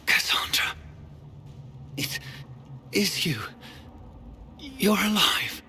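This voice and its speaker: An actor playing a dying, betrayed knight in a video game, weakened and strained with pain. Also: male voice, high voice, animated, very slow, sharp tone, heavily acted. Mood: weak relief. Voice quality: gravelly, strained. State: in agony, weakened. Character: dying male knight, tormented, bitter, betrayed. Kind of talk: dramatic video game acting. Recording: music behind the voice.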